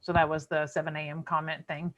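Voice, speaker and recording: A woman's voice: deep voice, little pitch movement, fast talker, fairly bright tone. A woman with an American accent hosting a casual live online chat, teasing and playful as she interviews. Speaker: host of a live online show. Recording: dull, lo-fi online call audio.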